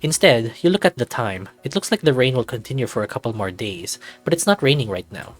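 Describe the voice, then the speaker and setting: A narrator reading a visual novel aloud in an expressive, playful way, with bits of casual commentary. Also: medium pitch, brisk. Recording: dry recording with music behind.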